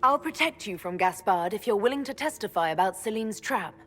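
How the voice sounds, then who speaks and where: A composed, expressive inquisitor heroine. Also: female voice, medium pitch, a little fast, breathy edge. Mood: firm, challenging. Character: noble woman agent of an inquisition, composed investigator. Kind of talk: dramatic fantasy game dialogue. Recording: background music under the voice.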